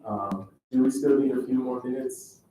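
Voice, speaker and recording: A man's voice: mid-range voice, moderate pace, dark tone, muffled recording. Distant, echoey young man hosting an event. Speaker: student event host. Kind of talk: informal event announcing to a group. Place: large indoor room at a student event. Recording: very echoey room, distant mic, noisy.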